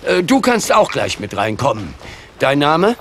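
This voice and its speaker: A man's voice: fairly high pitch, wildly swooping pitch, a little slow, a little hiss or hum. A man playing a good-natured, matter-of-fact casting show official in an audio drama. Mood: brisk, friendly.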